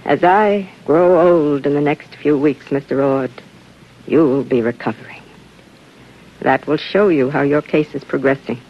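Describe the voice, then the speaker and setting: An American actress playing a calm, wistful woman psychiatrist of sixty-six in a 1950s radio drama. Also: deep voice, a little slow. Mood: wistful, gentle. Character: gentle, wistful sixty-six-year-old woman psychiatrist aboard spaceship. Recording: dull vintage radio recording.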